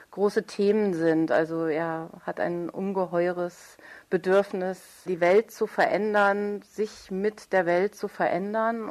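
A woman giving spontaneous, articulate and thoughtful explanations as an interview guest. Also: lowish voice.

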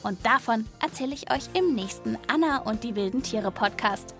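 A woman narrates a children's animal podcast in a lively, playful way, speaking straight to young listeners. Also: on the high side, moderate pace, soft background music.

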